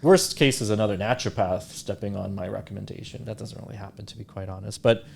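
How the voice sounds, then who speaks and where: Low-voiced man, halting and casual. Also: vocal fry, a little mellow, slight background noise. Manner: halting, self-correcting, thinking aloud. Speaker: podcast host, naturopathic doctor. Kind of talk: casual podcast conversation.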